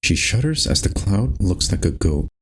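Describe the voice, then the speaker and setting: A man's voice: low-pitched, big pitch swings, normal speed, breathy edge. A man reading scripted, storybook-style narration for a horror game.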